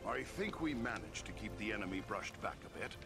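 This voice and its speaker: A man's voice: lowish voice, lively intonation, fairly quick, creaky. A man playing a determined adventurer in a fantasy game, with a direct, earnest way of talking. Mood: earnest resolve. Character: plain-spoken, determined adventurer hero. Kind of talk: fantasy video game cutscene acting. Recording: music underneath.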